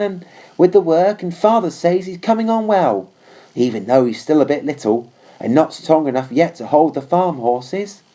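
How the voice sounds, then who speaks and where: A man's voice: fairly high pitch, mellow, voice pushed up, natural voice. A man voicing a plain-spoken mother's affectionate, chatty letter to her soldier son in a storybook.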